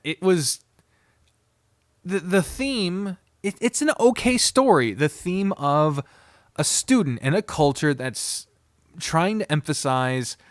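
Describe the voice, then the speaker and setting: A man giving an unscripted, casual review, blunt, profane and opinionated, often halting, digressing and trailing off mid-sentence. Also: on the high side, no echo, studio quality. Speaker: visual novel reviewer.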